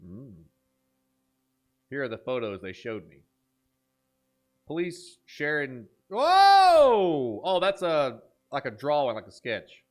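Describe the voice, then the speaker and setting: A man's voice: on the high side, slow pace, long pauses. A man hosting a horror reaction video, talking casually and enthusiastically, joking around and reading captions aloud. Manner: animated, jokey, enthusiastic.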